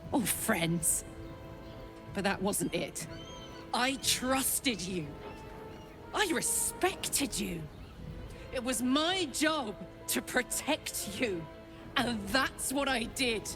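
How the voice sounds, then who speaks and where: A woman's voice: on the high side, lively intonation, very slow, long pauses, music in the background, exaggerated voice. A woman playing a fierce, betrayed warrior woman in a video game, blunt, sardonic and emotionally raw. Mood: hurt, bitter. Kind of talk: dramatic video game acting.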